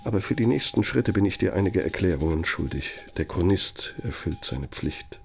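Radio play role: ageing male architect, low gravelly voice, self-important and exacting, grand deliberate rhetoric. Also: lively intonation, a little mellow. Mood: grave, measured. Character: self-important ageing German architect dictating a bitter, nostalgic letter. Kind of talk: radio play monologue, dictated letter.

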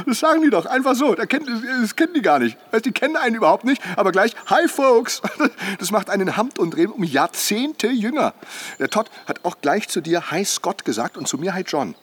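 An actor playing a boisterous, jovial middle-aged husband in a naturalistic radio drama, garrulous and bantering. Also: male voice, high-pitched, big pitch swings, moderate pace, a bit bright, husky, heavily acted. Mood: enthusiastic, mocking.

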